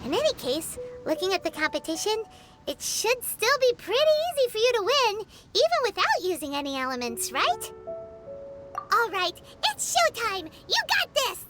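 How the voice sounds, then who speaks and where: A tiny fairy sidekick from a video game, squeaky and extremely high. The delivery is cheeky and eager, swinging widely in pitch, over prominent background music. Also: female voice, very animated, moderate pace. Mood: eager, chatty. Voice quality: squeaky, childlike. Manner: refers to self in third person, blunt, eager. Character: small childlike fairy companion, female, chirpy and cheeky. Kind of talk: video game character acting.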